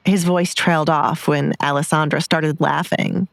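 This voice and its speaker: A woman's voice: deep voice, fairly even intonation, unhurried, vocal fry, no echo. A woman reading scripted dramatic story narration.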